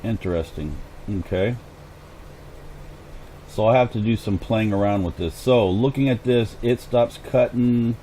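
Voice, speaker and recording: A man casually commenting on his own farming game as host, thinking aloud as he plays, his voice hoarse and going. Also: fairly low pitch, measured pace, pauses often, dark tone, slight background noise, rough recording. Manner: chatty, thinks aloud while playing. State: hoarse, clearing throat. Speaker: gaming channel host.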